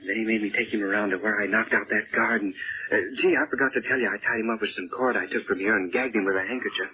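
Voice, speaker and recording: Eager, boastful American boy adventurer, low-pitched, slangy delivery, 1930s radio serial on a thin, muffled recording. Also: rapid-fire, slightly rough. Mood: hushed excitement. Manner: slangy, boyish, eager storytelling.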